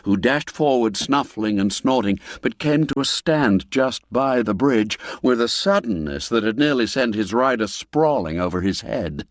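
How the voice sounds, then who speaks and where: Slow, measured male storyteller. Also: mid-range voice, animated, dry recording, clean studio sound. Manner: slow, measured reading with dramatic pauses. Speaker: narrator. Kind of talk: dramatic storybook reading.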